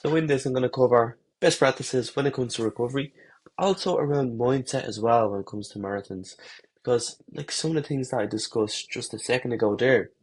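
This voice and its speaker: A man with a head cold, congested and slightly husky, giving runners a relaxed, rambling talk-to-camera lesson with fillers and restarts. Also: medium pitch, fairly quick. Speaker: running coach.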